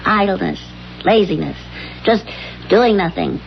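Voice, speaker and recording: An actress playing a coaxing, determined, encouraging wife, doting but bossy, in 1970s radio drama style. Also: female voice, mid-range voice, animated, unhurried, vocal fry, a little hiss or hum. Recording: muffled 1970s radio recording with music.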